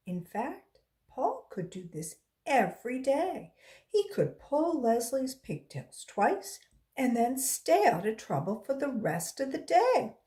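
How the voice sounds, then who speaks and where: A woman volunteer reading aloud to children in an expressive storytelling style, acting out the dialogue with emphasis. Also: fairly high pitch.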